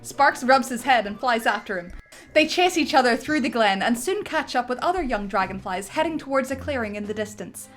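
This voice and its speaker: A woman reads stage directions aloud with lively energy. Her voice sits a little high, and soft music plays faintly behind her. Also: a little fast. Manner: lively, breaking into laughter. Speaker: narrator reading stage directions. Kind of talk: dramatic script reading.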